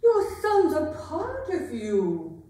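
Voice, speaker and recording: A woman's voice: sits high, huge pitch swings, drawn-out words, mellow, exaggerated voice. A woman acting a proud, sardonic, wounded queen in a staged dramatic reading, with a dry, pointed theatrical delivery. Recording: roomy, echoing hall, distant microphone.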